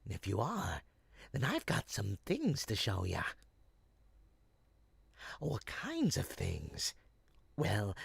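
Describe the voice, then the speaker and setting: Raspy, sly old goblin peddler. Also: male voice, medium pitch, dry recording, full character voice. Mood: furtive, coaxing. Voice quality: raspy, creaky. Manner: smooth-talking, wheedling, folksy street hawker. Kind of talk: character roleplay audio.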